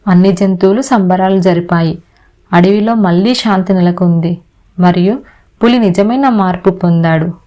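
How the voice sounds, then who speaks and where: A woman's voice: lowish voice, moderate pace, dark tone. A woman narrator reading a scripted moral story for children. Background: music.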